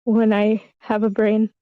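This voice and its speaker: A woman's voice: fairly high pitch, steady tone, takes it slowly, no room sound. A woman giving casual feedback in an online meeting, tentative and giggly, often trailing off. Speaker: table-read participant giving script feedback. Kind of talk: casual spoken critique. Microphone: online meeting call. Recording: dull lo-fi online meeting audio.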